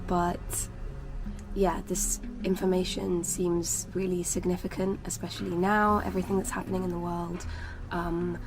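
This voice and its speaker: A woman from England, hosting her channel in a casual vlog introduction; her voice is husky and sniffly from a cold, and she talks in an earnest, reflective way. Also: fairly low pitch, poor audio. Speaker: channel host. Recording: clear background noise.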